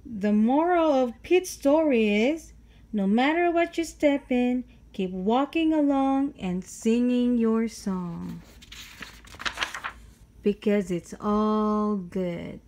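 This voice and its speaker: A woman reading a children's picture book aloud in a deliberate way, putting exclaimed questions and answers to young listeners. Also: a little high, warm tone, slight background noise. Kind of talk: read-aloud children's story narration.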